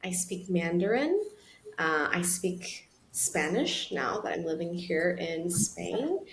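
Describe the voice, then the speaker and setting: A woman's voice: lowish voice, slow. Woman on a video call, echoey room. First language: English. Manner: relaxed, laughs easily, playful. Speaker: guest. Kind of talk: casual video-call interview. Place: small room at home, indoors. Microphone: video call with laptop mic. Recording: echoey room with faint noise.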